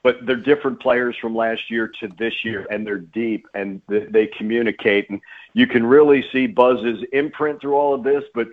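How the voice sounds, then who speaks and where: A man's voice: mid-range voice, fairly even intonation, normal speed. Emphatic sportscaster man on a phone-like line. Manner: emphatic, repeats phrases for stress. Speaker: sports play-by-play announcer, radio guest. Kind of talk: radio sports interview commentary. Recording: phone-like narrow-band radio line.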